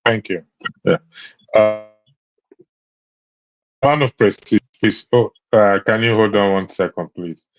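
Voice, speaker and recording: A man's voice: lowish voice, takes it slowly, long pauses, no room sound, faint music behind. A man hosting a call-in broadcast, politely and briefly handing the floor to callers. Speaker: host and moderator. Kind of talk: moderating callers. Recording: dry lo-fi audio.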